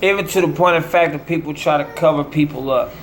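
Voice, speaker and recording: A somewhat high-voiced American man talks in a rambling monologue. He breaks his thoughts into short, declamatory phrases like a street preacher, over a clear, steady hum of room noise. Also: fast. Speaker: self-promoting musician.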